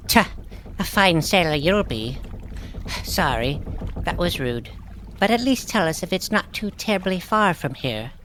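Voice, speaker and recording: Haughty young heroine, woman actor, lowish pitch, refined and commanding, fantasy audio drama. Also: lively intonation, unhurried, fairly bright tone, slight background noise, poor audio. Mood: exasperated. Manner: fancy, imperious, formal phrasing. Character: bossy, refined, haughty girl adventurer.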